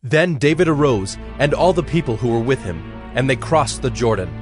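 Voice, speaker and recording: Guy narrating audio bible, solemn and measured, mid voice, bit of music under it. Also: mid-range voice, expressive. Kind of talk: dramatized scripture narration.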